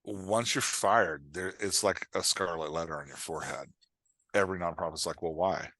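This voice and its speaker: A man's voice: low voice, normal speed, fairly bright tone, no echo. A middle-aged man speaking candidly and conversationally as an interview guest, self-deprecating and acting out imagined remarks. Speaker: podcast guest, fiction writer and dialogue coach.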